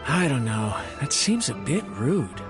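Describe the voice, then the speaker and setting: A man's voice: mid-range voice, big pitch swings, unhurried, music in the background. A man playing a friendly, polite and eager young servant in a video game, courteous and chatty. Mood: speculating, careful.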